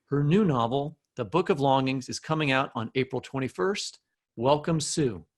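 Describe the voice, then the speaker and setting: A man's voice: mid-range voice, animated, moderate pace. A man with an American accent co-hosting a podcast, making introductions and asking questions in a conversational way. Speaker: podcast co-host.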